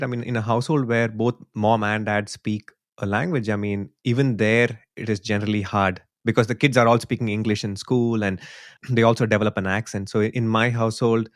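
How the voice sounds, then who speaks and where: A man's voice: mid-range voice, dry recording, studio quality. A man from India in a casual podcast chat, speaking earnestly and thinking aloud. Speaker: podcast co-host. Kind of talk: casual conversation.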